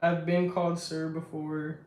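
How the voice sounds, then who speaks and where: A young woman with a deep, strong voice, chatting casually on a live stream in a reflective, self-assured way. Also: level tone, measured pace, darkish tone, slight background noise. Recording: echoey room.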